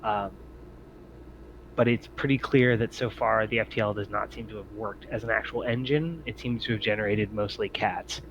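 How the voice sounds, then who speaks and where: A man with a fairly low voice runs a tabletop roleplaying game as game master. He narrates haltingly, working out descriptions as he speaks. Faint noise sits behind him. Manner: halting, thinking aloud.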